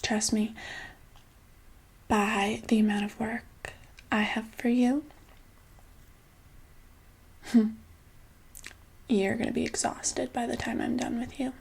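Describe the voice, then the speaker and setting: Woman playing passive-aggressive female boss, ASMR roleplay, soft breathy near-whisper, lightly high pitch, unhurried drawn-out pace, condescending, casually threatening. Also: little pitch movement, low-quality recording. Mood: bossy, threatening. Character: controlling, passive-aggressive female boss holding an employee hostage.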